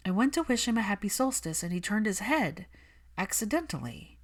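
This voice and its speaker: A woman's voice: mid-range voice, very animated, brisk, pitched above the performer's own voice. A woman voicing a guarded, logical young woman in a storybook reading, clipped, insistent and clinical. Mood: flustered denial.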